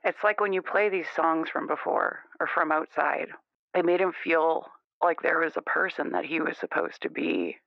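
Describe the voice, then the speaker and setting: A man's voice: fairly high pitch, expressive, moderate pace, creaky, no room sound. A man playing a defensive, conformist young caller, insistent and rambling, in an improvised radio call-in drama. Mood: earnest, troubled. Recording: band-limited, muffled phone-in radio sound.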